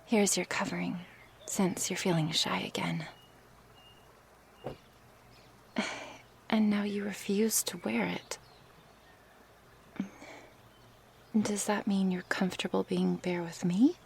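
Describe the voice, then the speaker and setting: A woman playing a gentle, curious, coaxing snake woman in an intimate ASMR roleplay, speaking softly and hesitantly in a breathy, often whispered voice. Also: mid-range voice, fairly even intonation, slight background noise, lightly acted. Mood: tender, coaxing. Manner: slow, hesitant, soft-spoken with sighs and pauses. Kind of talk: ASMR roleplay, intimate one-sided dialogue.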